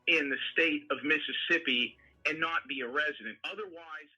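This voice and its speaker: A man's voice: a little high, normal speed, pushed and bright, husky, soft background music. A man defensively explaining himself as he is questioned on a phone call. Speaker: self-described private investigator being questioned.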